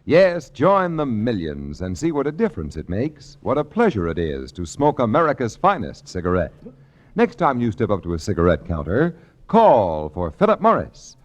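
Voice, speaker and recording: A man's voice: on the low side, huge pitch swings, normal speed. A man reading a 1940s radio advertisement as an announcer, with an emphatic, polished sales delivery. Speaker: commercial announcer.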